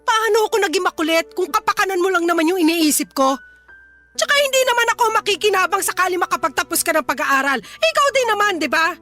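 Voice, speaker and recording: A woman's voice: very high-pitched, animated, fast, bright, forceful tone, faint music behind, full character voice. A woman playing an exasperated, scolding widowed mother in a radio drama. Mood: nagging, indignant. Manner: scolding, emphatic, insistent. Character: exasperated nagging widowed Filipino mother, scolding her son.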